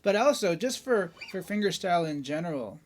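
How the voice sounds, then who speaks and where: A man's voice: high-pitched, expressive, fairly quick. Chatty man reviewing a guitar. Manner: chatty, enthusiastic, many fillers and tag questions. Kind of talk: casual gear review.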